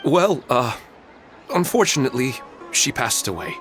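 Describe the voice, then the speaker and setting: A man acts out a gentle, wistful villager in an anime-style game. He is courteous and a little hesitant, with expressive intonation. Music plays clearly behind him. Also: mid-range voice, normal speed, close to an everyday voice. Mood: subdued grief.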